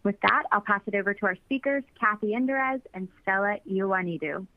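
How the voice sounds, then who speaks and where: Woman moderator, scripted and even, tinny phone-line sound. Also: medium pitch, a little fast. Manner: reads housekeeping notes. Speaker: webinar moderator. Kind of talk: scripted announcement.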